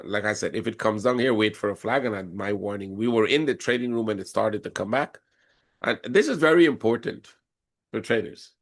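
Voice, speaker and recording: A man with a cold and a hoarse voice, explaining chart patterns step by step during a live trading session. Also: lowish voice. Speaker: forex trading instructor. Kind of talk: live trading room commentary.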